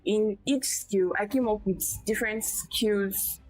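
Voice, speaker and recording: Soft-spoken woman, halting. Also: mid-range voice, no echo, soft background music, low-quality recording. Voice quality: small, quiet voice. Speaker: guest, tech skills tutor. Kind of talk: casual conversational interview.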